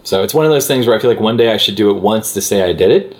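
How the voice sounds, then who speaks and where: Low-voiced chatty man. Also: rapid-fire. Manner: chatty, animated asides, many fillers. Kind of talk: casual chat.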